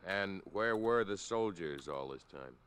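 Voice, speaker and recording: A man's voice: deep voice, animated, unhurried, a bit bright, clear, resonant tone. A man playing a skeptical, uneasy suitor in an old radio drama, on a noisy recording. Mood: doubtful. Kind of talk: 1950s radio drama acting.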